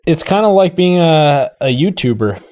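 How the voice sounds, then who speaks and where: A man's voice: a little high, animated, normal speed, darkish tone. A man chatting casually as a co-host, chiming in with short remarks. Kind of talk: casual chat, brief interjections.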